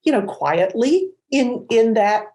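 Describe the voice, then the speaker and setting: A woman's voice: fairly high pitch, expressive, slow, warm tone. Earnest, encouraging woman podcast guest. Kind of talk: casual interview chat.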